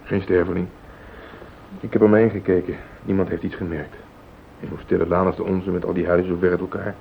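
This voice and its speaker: An actor playing a rattled, evasive husband in a radio play, agitated and pleading. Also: male voice, little pitch movement, fairly quick, vocal fry. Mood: remorseful, pleading. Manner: agitated, pleading, self-justifying. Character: rattled, evasive husband hiding a car accident. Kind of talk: Dutch radio play acting. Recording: muffled phone-like old radio recording, rumbling hiss.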